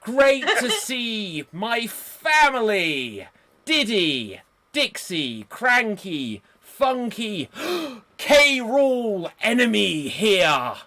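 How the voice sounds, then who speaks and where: Man doing an excitable male ape king, shouty, gasping, high bright voice. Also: very slow, dry recording, exaggerated voice. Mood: excited. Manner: shouty, gasping roll call of names. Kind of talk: improv game on a live stream.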